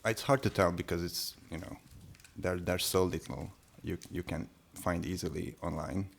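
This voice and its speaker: A man whose first language is Hungarian, talking casually on a podcast with many pauses and restarts. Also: low voice, steady tone, creaky, slight background noise. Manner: hesitant, many restarts and fillers. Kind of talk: casual conversation.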